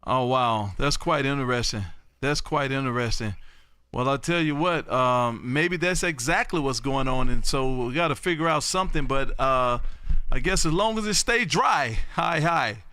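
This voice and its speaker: A man's voice: mid-range voice, normal speed, sharp tone, clear, resonant tone, no echo. An American man hosting an amateur radio live stream in a folksy, repetitive patter, chatting casually with callers. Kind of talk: lively ham radio live stream chat.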